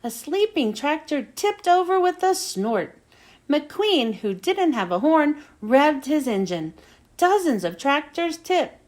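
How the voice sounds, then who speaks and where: A woman reading a children's storybook aloud in an expressive way, with dramatic storytelling emphasis. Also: sits high. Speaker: children's book reader.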